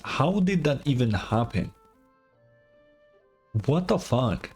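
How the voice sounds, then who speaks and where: A man's voice: mid-range voice, very animated, takes it slowly, a little mellow, breathy edge, faint music behind. A man giving casual, incredulous, sweary reaction commentary as a game streamer.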